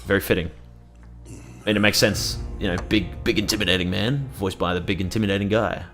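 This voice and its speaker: Deep-voiced rambling gaming streamer man. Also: fairly even intonation, brisk, a bit bright, faint music behind. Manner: rambling, swears freely, lots of fillers. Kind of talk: casual gameplay commentary.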